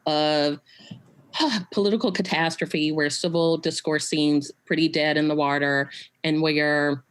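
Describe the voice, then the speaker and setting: A woman's voice: low voice, steady tone, a little slow, clear, resonant tone. A woman hosting a conversational online interview over a video call, chatty and full of "you know" and "right?". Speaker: host. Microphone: home video call.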